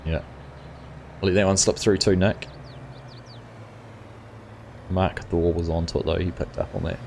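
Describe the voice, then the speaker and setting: A man's voice: deep voice, a little slow, gravelly, slight background noise. A middle-aged man with a New Zealand accent, hosting a live gaming stream and chatting casually with viewers, with plenty of fillers. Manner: relaxed and chatty, many fillers, answers chat by name. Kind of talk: casual chat reading viewer comments.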